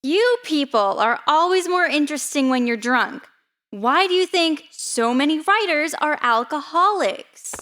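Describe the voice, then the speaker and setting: A woman acting as a cheeky, gleefully destructive talking bird in a comic radio play. The voice is high and teasing. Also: expressive, a little slow, fairly bright tone. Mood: mischievous. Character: mischievous sentient female bird, gleefully destructive. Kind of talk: scripted audio comedy drama acting.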